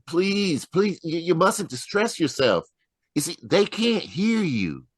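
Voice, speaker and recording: Man as a kindly dead husband, animated intonation, hesitant, apologetic, radio mystery, dry sound. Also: a little high, slow, slightly rough, no room sound, full character voice. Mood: concerned. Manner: hesitant, mild, folksy. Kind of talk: old-time radio mystery acting.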